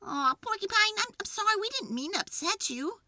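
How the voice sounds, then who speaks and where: A woman's voice: very high-pitched, huge pitch swings, measured pace, bright, forceful tone, dry recording, studio quality, pitched above the performer's own voice, exaggerated voice. A woman voicing a blunt, whiny, reluctant male animal in a children's storybook. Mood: apologetic. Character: reluctant, outspoken grumpy male party animal.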